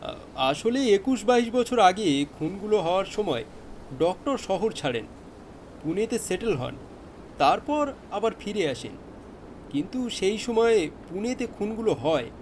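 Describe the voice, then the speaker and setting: A man's voice: sits high, big pitch swings, unhurried, a little hiss or hum. An actor playing a diligent, deferential police inspector, explaining his findings with respectful hesitation in an audio crime drama. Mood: hesitant, earnest. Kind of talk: Bengali audio detective drama acting.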